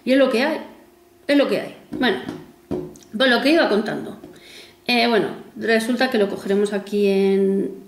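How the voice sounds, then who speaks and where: A woman's voice: medium pitch, moderate pace, pauses often, slight background noise. Chatty woman in her forties. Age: 40s. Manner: chatty and rambling, self-mocking asides. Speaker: vlogger. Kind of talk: casual chat to camera. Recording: echoey room with faint music.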